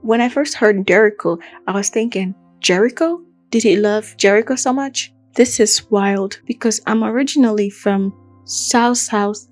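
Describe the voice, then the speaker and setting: A woman with a Nigerian accent hosting a true crime podcast, telling the story casually and chattily, with animated asides. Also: a little high. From Akwa Ibom, southern Nigeria.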